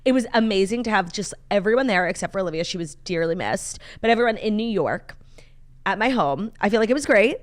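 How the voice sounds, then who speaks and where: A woman co-hosting a casual morning talk show, excitable and gushing, with casual swearing. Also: mid-range voice, brisk. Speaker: podcast co-host. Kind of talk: casual chat.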